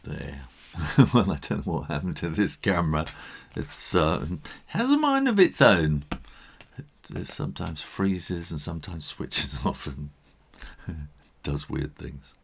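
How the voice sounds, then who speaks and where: A man with a tired, croaky voice, thinking aloud with soft chuckles as he teaches drawing and painting. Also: low-pitched, very animated, measured pace. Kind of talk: casual drawing tutorial narration.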